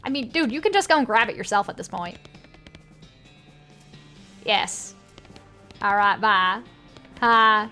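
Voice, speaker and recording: A woman's voice: mid-range voice, lively intonation, slow, long pauses, sharp tone, faint music behind. A woman with a put-on Southern US accent, casually commenting on a game and reading its text aloud. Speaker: game streamer.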